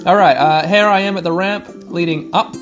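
A man's voice: sits high, moderate pace, music in the background. A man hosting a podcast, giving chatty, wry running commentary as he plays a video game for listeners. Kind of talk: narrating gameplay to listeners.

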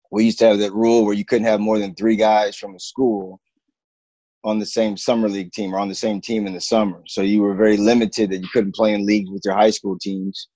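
A man, a basketball coach, talking casually in an interview, relaxed and halting with many fillers. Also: on the low side, no echo, muffled recording.

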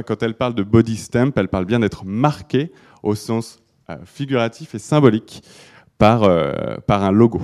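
Mellow man giving a hesitant talk. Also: medium pitch. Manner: hesitant, many restarts and fillers. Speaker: speaker presenting to an audience. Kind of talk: live talk on design with slides.

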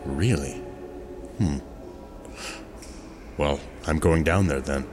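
Man as deep-voiced, solemn archangel warrior. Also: slow, over music. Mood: wry. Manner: solemn, commanding. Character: stern, proud male archangel warrior. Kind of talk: audio drama acting.

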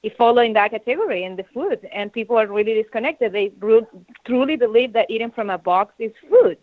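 Female guest, earnest and measured, thin dry phone-like audio. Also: a little high, expressive, no echo. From Chile. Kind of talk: casual radio talk show chat.

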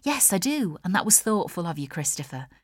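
A woman voicing a sweet-natured young woman, gracious, lively and curious, in an audiobook. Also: lowish voice, a little fast, no echo, studio quality. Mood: grateful.

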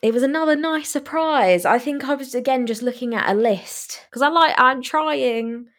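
A woman's voice: sits high, moderate pace, a bit bright. Chatty woman reviewing music. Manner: chatty, enthusiastic, laughs often. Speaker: podcast co-host. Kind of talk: casual music review chat.

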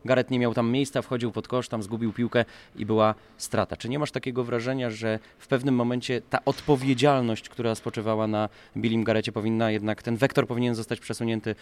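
A man's voice: mid-range voice, little pitch movement, fairly quick. A man hosting a sports discussion, building up his questions in an emphatic, wordy way. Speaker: sports podcast host, interviewer. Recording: clearly noisy background ambience.

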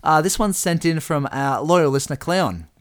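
Chatty croaky-voiced podcast host. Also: male voice, a little high, expressive, normal speed. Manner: chatty, rambling with restarts and laughs. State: slightly croaky voice. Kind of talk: casual chat.

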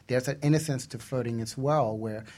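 A man's voice: mid-range voice, fairly quick, darkish tone. A man hosting a casual radio discussion, talking in an earnest, conversational way. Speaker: radio show host.

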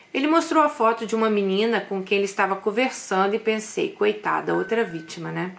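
A woman telling a personal story in the first person, confiding, with frequent asides to her listeners. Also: mid-range voice.

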